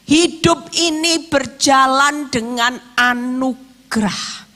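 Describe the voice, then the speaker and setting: A middle-aged woman with an East Javanese Indonesian accent preaching a sermon emphatically, her voice slightly hoarse. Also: sits high, sharp tone. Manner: emphatic, with rhetorical questions and acted-out quotes. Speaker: evangelist, guest preacher.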